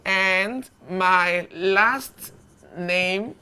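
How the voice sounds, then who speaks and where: A woman teaching a beginner English lesson, speaking deliberately and repeating short phrases for learners to echo. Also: mid-range voice, pushed and bright, clear, resonant tone.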